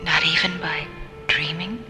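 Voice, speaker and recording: An actress playing an earnest, vulnerable space traveler in an old-time science fiction radio drama, speaking softly and with emotion. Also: female voice, low-pitched, steady tone, a little slow, faint music behind, exaggerated voice. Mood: vulnerable, moved. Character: earnest, vulnerable young woman, newly blinded space traveler.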